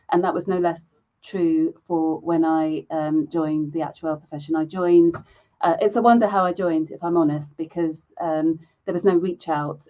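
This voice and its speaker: Mellow, level-voiced woman. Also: deep voice, dull audio. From England. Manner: measured and deliberate, many pauses with "um". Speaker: panelist. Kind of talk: panel discussion over video call. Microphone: video call.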